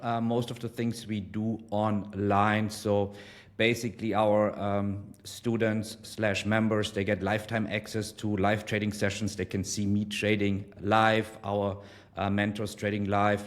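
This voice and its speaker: A man of forty from Germany, explaining his trading as an interview guest, measured and full of ums. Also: fairly low pitch, level tone. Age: 40s. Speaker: guest trader. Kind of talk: casual interview.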